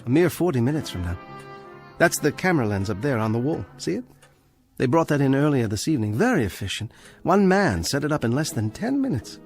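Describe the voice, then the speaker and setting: A man's voice: mid-range voice, big pitch swings, fairly quick, darkish tone, faint music behind. An actor playing a condemned, bookish male librarian in a radio drama, precise, pedantic and dryly ironic. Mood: dry, composed.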